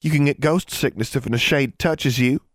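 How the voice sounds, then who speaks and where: A man's voice: medium pitch, normal speed, dry recording, pitched above the performer's own voice, lightly acted. A man voicing a dramatic, superstitious young country girl who talks in wailing, folksy, ungrammatical speech for a storybook. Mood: stubborn.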